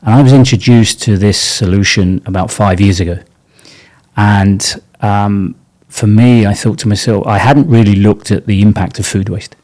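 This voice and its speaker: A man's voice: on the low side, steady tone, normal speed, darkish tone. A man speaking as a panel guest from industry, earnest, with frequent ums. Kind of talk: casual panel discussion.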